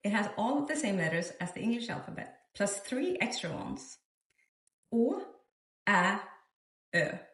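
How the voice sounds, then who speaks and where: Swedish woman teaching with lively pitch. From Sweden. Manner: upbeat, encouraging, spells out sounds slowly. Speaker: language teacher. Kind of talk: friendly beginner language lesson. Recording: roomy and slightly distant.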